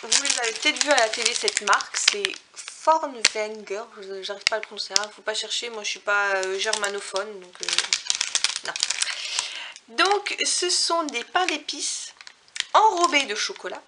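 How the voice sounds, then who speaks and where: A young woman from Alsace talks through the food she finished this month, hesitant and full of fillers. Her voice sits a little high. Steady noise runs under the home recording. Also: moderate pace. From Alsace, France. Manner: chatty, hesitant, many fillers and self-corrections; reads out ingredient lists. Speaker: vlogger reviewing finished products. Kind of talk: casual chat to camera.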